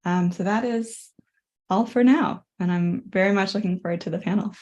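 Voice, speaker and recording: A woman's voice: mid-range voice, moderate pace, a little mellow, muffled recording. A woman presenting her project at an online symposium, speaking hesitantly with many fillers. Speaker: presenting researcher.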